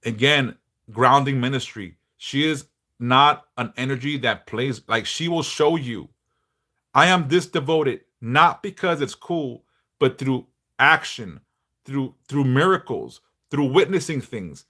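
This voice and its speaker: A middle-aged man a big bass voice, chatting bluntly and passionately on a livestream, swearing freely. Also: expressive, unhurried, pushed and bright. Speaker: livestream host, devotional teacher. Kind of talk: casual livestream chat.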